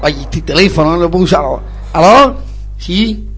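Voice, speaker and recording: A man's voice: high-pitched, moderate pace, a bit bright, soft background music. A man playing a spoiled, snobbish young Argentine businessman in a radio comedy-drama, whining, stammering and repeating himself. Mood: exasperated, whiny. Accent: Argentine (Rioplatense).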